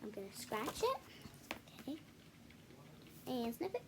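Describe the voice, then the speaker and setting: A woman hosting a children's read-aloud video, reading a picture book with casual, halting, self-correcting asides to viewers. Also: high-pitched, sing-song, noisy background. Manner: halting, self-correcting, apologetic while showing pages.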